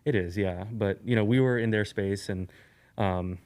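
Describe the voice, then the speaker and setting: A man from Eastern Europe, a podcast guest, talking in a relaxed, rambling way with lots of fillers and restarts. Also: on the low side, normal speed. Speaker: guest, sneaker YouTuber. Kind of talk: casual podcast conversation.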